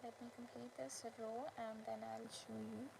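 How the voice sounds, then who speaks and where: A woman hosting a casual craft livestream, chatting with viewers in short, halting phrases with pauses. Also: on the high side, flat delivery. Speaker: live stream host, crocheter. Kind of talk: casual livestream chat with viewers, showing handmade work. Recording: clearly noisy background ambience.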